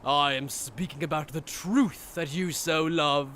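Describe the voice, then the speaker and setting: A man's voice: fairly high pitch, measured pace, fairly bright tone, slight background noise. A man playing a smug, cruel aristocratic villain who talks in a mocking, theatrical, wordy way in an anime-style dramatic dub. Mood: mocking, taunting. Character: smug, cruel, treasonous nobleman swordsman villain. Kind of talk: dramatic fan-dub voice acting.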